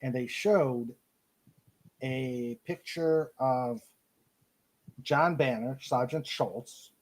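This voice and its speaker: An American man hosts a casual podcast chat at an unhurried pace. His voice is husky, and he speaks haltingly, often stopping to search for the right word. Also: medium pitch, a little mellow. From Cleveland, Ohio, United States. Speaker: podcast host. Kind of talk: casual live chat, reading out listener comments.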